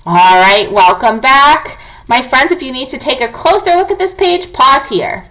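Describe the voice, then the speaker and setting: A woman teacher reading non-fiction aloud to young students in an expressive, clearly enunciated, instructive way. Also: sits high, normal speed, rough recording.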